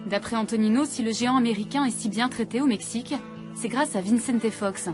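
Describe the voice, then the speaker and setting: High-voiced French woman narrating over music. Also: a little fast. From France. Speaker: documentary narrator and journalist. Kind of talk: first-person scripted documentary narration.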